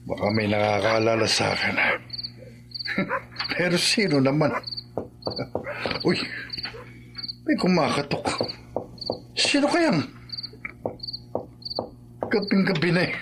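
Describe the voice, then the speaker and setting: High-pitched, jittery, cowardly man. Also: big pitch swings, slow, long pauses, noisy background, heavily acted. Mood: restless, irritated. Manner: nervous stammering, whiny. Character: cowardly, guilty man pleading with a wronged friend. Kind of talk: horror radio drama acting.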